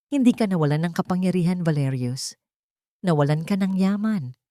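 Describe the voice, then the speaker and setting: A woman's voice: fairly low pitch, expressive, moderate pace, warm tone, no echo, clean studio sound, lightly acted. Woman playing a firm, caring drama heroine.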